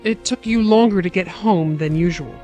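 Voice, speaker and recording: A woman's voice: fairly low pitch, moderate pace, mellow, soft background music, natural voice. An actress playing a stern, coldly resolute wife and mother in an audio drama, firm and commanding. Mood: tense.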